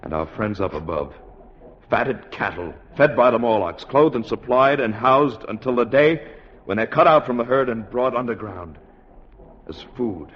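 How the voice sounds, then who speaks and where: A man's voice: medium pitch, animated, normal speed, lightly acted. A man acting a resolute scientist in a 1950s radio drama, urgent and authoritative, with a declamatory delivery. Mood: grave. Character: resolute, commanding male scientist leading an expedition. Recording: muffled, noisy old radio broadcast.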